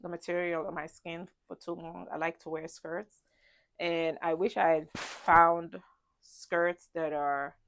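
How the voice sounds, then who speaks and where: A woman's voice: lowish voice, flat delivery, measured pace, a bit bright, no room sound. A woman with a congested, stuffy voice chatting casually as a travel vlogger, rambling with many ums and restarts. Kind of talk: casual packing chat to camera. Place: ship cabin.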